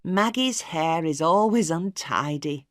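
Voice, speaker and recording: A woman's voice: low-pitched, lively intonation, takes it slowly, dry recording, studio quality. A woman reading the part of a fretful, scolding mother in an audiobook. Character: fretting middle-aged mother.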